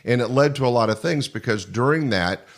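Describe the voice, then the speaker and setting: A man's voice: medium pitch, lively intonation, fairly quick. An American man in his sixties hosting a casual interview, reminiscing and affirming his guests. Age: 60s. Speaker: interview host.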